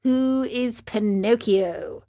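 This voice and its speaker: Storybook snail, read by a woman: female, very unhurried, placid, lightly high-voiced, deliberate. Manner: calm, peaceful, slow, deliberate. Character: calm, unhurried female snail, serenely unhelpful. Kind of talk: children's storybook character voice.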